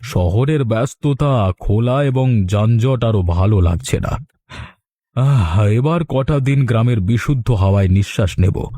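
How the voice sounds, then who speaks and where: A man's voice: medium pitch, a little slow, dark tone, dry recording, lightly acted. A man playing a genial, food-loving rich uncle visiting from the city, speaking in a leisurely cartoon style. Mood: contented. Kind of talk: Bengali cartoon dialogue.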